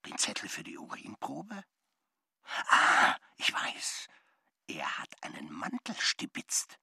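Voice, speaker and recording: A slow, creaky, half-whispered male voice, as an irritated professor in a radio drama. He mutters suspiciously to himself, with wild swoops of intonation. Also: lowish voice, huge pitch swings, sharp tone, no room sound, studio quality, exaggerated voice. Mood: suspicious, indignant. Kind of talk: German radio play acting.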